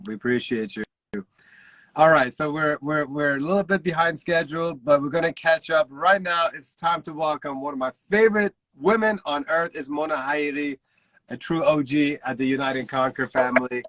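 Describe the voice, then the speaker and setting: A man's voice: a little high, moderate pace, a bit bright, no room sound, low-quality recording. Upbeat male host, dry sound. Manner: upbeat, thanks guests, hands over turns. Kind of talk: casual livestream hosting.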